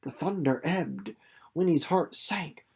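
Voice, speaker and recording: A storyteller with a medium-pitched voice reads literary fiction aloud from a script. The delivery is dramatic, shaping each phrase for suspense by easing off in speed and volume. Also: animated, slow, a little mellow, slightly rough. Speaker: audiobook narrator reading a novel chapter.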